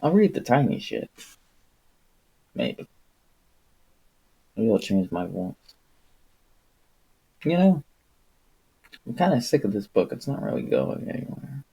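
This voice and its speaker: A man streaming solo, reading aloud and chatting casually in an unhurried, rambling, wry manner. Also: fairly low pitch, wildly swooping pitch, vocal fry, dark tone, breathy edge. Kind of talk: audiobook-style reading and casual livestream chat.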